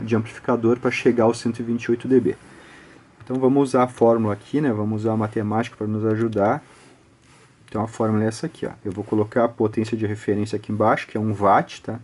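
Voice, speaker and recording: Husky Brazilian man explaining things. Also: medium pitch, a little mellow. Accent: Brazilian Portuguese. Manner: conversational, explanatory, frequent tag questions. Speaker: audio electronics instructor, channel host. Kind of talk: informal step-by-step technical tutorial.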